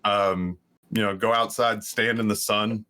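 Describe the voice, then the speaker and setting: Casting: baritone man in his 30s, lowish pitch, bright edge, easygoing podcast guest. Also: fairly even intonation, a little slow. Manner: rambling, self-deprecating storytelling. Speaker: streamer guest. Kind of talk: casual podcast chat.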